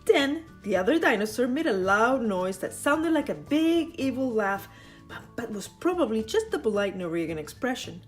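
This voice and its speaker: A woman reading a children's picture book aloud, playful and teasing as she tells it. Her fairly high voice climbs and dips in a lively way. Soft music plays behind her. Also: normal speed. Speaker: storyteller.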